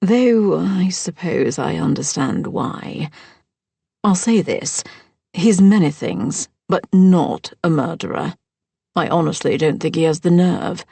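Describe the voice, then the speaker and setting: A woman voicing a gracious older mother-in-law who speaks formally and softly, at times near a whisper. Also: lowish voice, lively intonation, slow pace, gravelly, slightly rough, dry recording, full character voice. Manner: formal and soft-spoken.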